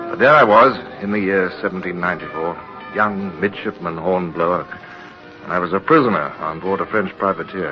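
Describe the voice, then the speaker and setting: A man's voice: deep voice, natural voice. An actor playing an earnest, self-blaming young British naval midshipman who can also command, in an old-time radio drama. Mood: solemn, rueful. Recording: dull vintage radio recording, music.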